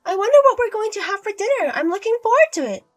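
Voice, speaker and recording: A woman voicing a scheming older mother-in-law who talks in a shrill, scornful, domineering way. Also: very animated, fast, faint music behind. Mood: fake sweet.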